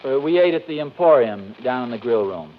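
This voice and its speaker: An actor playing a distracted, grumbling, mild suburban husband in 1940s radio sitcom style, hesitant and easily exasperated. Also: male voice, mid-range voice, animated, fairly quick, natural voice. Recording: dull, noisy old radio recording.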